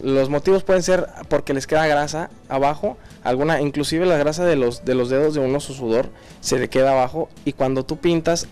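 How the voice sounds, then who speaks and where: Halting Mexican man explaining, higher-pitched voice. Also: a little mellow. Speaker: auto body paint technician. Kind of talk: informal hands-on explanation.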